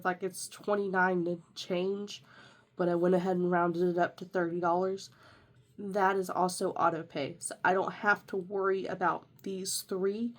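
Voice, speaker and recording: A young woman with a congested, nasal voice from a sinus infection, chatting casually as she walks through her budget planner. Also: fairly low pitch. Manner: chatty, rambling with fillers and self-corrections. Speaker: budgeting vlogger.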